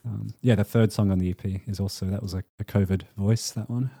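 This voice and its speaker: A low, warm-toned man talking as a musician guest. He speaks loosely and hesitantly, often laughing, in a dry, close sound. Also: steady tone, brisk, creaky, husky, no echo. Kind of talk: casual interview.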